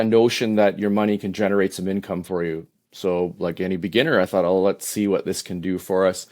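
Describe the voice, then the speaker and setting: A Canadian man in his fifties, a podcast guest answering in a relaxed, hesitant way with many "uh"s. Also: on the low side. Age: 50s. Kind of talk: casual interview answers.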